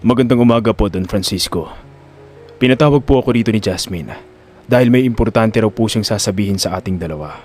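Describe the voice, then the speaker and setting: A man playing a respectful, low-key associate of a rich Don in an audio drama, speaking politely and formally. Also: a little fast, darkish tone, faint music behind, close to an everyday voice. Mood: polite, reserved.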